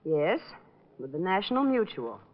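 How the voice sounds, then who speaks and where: An actress playing a guarded, impatient widow in an old radio drama, speaking curtly. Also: female voice, on the low side, very animated, normal speed. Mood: guarded, irritated. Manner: curt, impatient. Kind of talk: 1940s-50s radio detective acting. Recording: dull old-time radio recording.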